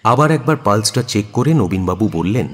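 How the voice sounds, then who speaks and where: Male narrator, low-pitched, husky, very expressive intonation, audio story. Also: moderate pace. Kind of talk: scripted dramatic story narration.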